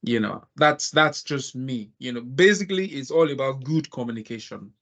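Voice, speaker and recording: A Nigerian man with a Nigerian accent that has some American influence, hosting an online meeting and talking over a video call, laughing easily and speaking in quick bursts. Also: medium pitch, lively intonation, fairly bright tone. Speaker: webinar host.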